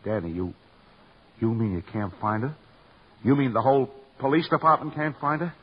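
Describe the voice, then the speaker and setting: An actor playing an anxious husband pleading with the police in an old-time radio drama. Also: male voice, medium pitch, lively intonation, normal speed, husky. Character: anxious husband, theater man Georgie. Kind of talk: 1940s radio acting. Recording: muffled old radio recording, faint hiss.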